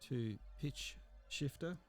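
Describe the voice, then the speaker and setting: A man narrating a software tutorial in a casual, explanatory and somewhat hesitant way. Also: mid-range voice, takes it slowly, soft background music.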